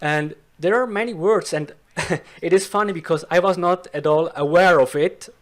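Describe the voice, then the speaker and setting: A Swiss man whose first language is Swiss German, speaking careful, slow learner's English as an interview guest. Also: a little high, fairly bright tone. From Switzerland. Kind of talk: casual interview chat.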